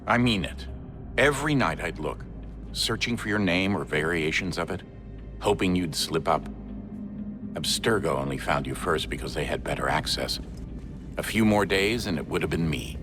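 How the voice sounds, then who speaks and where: Game role: weary, stern dad, very deep, measured, music behind. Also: male voice, expressive. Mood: earnest. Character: stern, world-weary father, veteran of a secret war. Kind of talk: video game cutscene acting.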